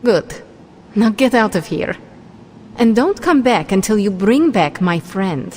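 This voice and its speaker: An actress playing a sardonic, blunt, fiercely loyal superheroine in a video game cutscene, with a wry manner. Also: female voice, medium pitch, very animated, measured pace, noisy background. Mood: determined, concerned. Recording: clear music behind voice.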